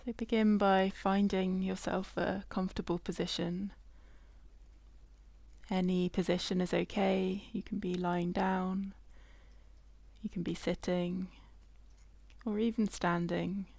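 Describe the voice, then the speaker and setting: Woman meditation guide, very slow pace, even narrow intonation, gentle, long pauses, dry close sound. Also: medium pitch, creaky, no echo. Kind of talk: guided body scan meditation, slow and spacious.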